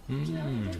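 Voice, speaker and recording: Slow, snobbish, teasing buddy. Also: male voice, mid-range voice, vocal fry, exaggerated voice. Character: mocking, snobbish young male buddy. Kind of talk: Ilocano radio drama acting.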